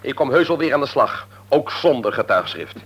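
An actor playing a stubborn young adult son in a radio drama, curt, offhand and defiant. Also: male voice, mid-range voice, very animated, normal speed, a bit bright, breathy edge. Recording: muffled, noisy old radio recording.

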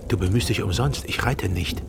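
An actor playing a proud, hurt and stubborn shepherd in a radio drama, speaking curtly and defiantly. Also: male voice, fairly low pitch, normal speed, a little hiss or hum, natural voice. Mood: stubborn, bitter. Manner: curt, defiant, blunt. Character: proud, stubborn, hurt shepherd rejecting his old friend. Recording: outdoor scene with bleating sheep behind.

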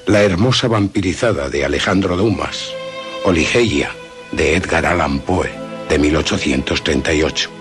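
A man's voice: very deep voice, moderate pace. A man reading dramatic scripted narration for a radio programme. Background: music.

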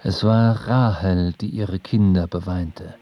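A man narrating a literary sea story for an audio drama in a measured storytelling manner. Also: sits low, steady tone, highs cut off.

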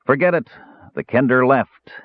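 A man putting on a shrill, sneering dwarf. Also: moderate pace, no echo, natural voice. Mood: snarling. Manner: snarling, sneering, cackling. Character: shrill, scheming male dwarf slave-owner. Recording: dry, phone-like narrow band.

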